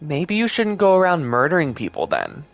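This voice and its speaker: A man's voice: a little high, wildly swooping pitch, normal speed, gravelly, dull audio. A man giving casual, muttered, offhand commentary while streaming a game. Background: faint game music.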